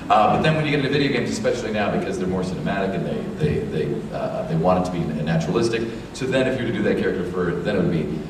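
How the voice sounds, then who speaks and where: Low-voiced man, fast and halting. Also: lively intonation. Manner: halting, restarts and fillers. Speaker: voice actor panelist. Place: large convention hall. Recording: echoey hall from far off, noise.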